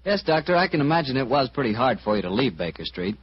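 A man's voice: medium pitch, big pitch swings, fast, slightly rough, no echo. A man with an American accent announcing in polished, salesmanlike 1940s radio style. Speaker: announcer and sponsor pitchman. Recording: muffled, hissy old radio broadcast.

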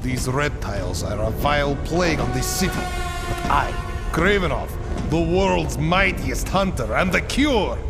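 An actor playing a boastful, ruthless big-game hunter in a video game, speaking in a grandiose, theatrical way. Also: male voice, a little high, expressive, unhurried, vocal fry, loud music behind the voice. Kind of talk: video game cutscene voice acting.

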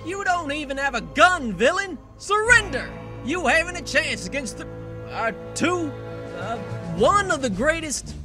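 A man's voice: extremely high pitch, sing-song, measured pace, over music, heavily acted. A man voicing a pompous, easily flustered Old West marshal in a theatrical fan comic dub. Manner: grandiose, theatrical.

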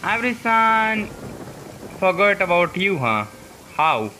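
Casual livestream chatter reading comments aloud, high and sharply bright, faint background noise. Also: sing-song, slow pace. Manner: reads chat aloud, repeats short phrases. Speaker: streamer reading live chat.

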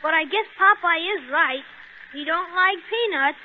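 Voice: very high voice, measured pace, slight background noise. A performer playing an eager, curious, kind young boy in 1930s radio comedy. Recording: tinny 1930s radio recording.

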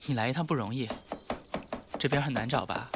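A man acting a heartbroken, weary, gentle young man in a Mandarin audio drama, restrained and wistful. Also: mid-range voice, fairly quick, heavy background noise, natural voice. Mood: calm, friendly. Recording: music behind the voice.

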